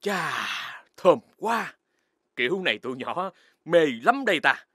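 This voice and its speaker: A man voicing an excitable, fussy grown-up in a children's cartoon, with an exclamatory delivery. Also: fairly high pitch, measured pace, breathy edge, dry recording, clean studio sound, exaggerated voice. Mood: cheerful. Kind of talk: Vietnamese children's cartoon dubbing.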